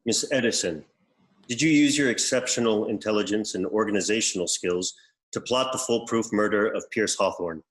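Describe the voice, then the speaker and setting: Male actor as formal interrogator for sitcom read. Low-leaning pitch, deadpan, stern, procedural. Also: normal speed, lightly acted. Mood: formal, businesslike. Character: stern formal male interrogator running lie-detector questioning. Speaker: actor at a virtual table read.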